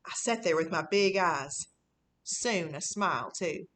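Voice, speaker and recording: A woman's voice: on the low side, expressive, unhurried, a bit bright. A woman reading a children's book in the voice of a sneaky, boastful fifth-grade boy, telling his story casually and conspiratorially. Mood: impressed, pleased. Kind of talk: first-person children's audiobook reading.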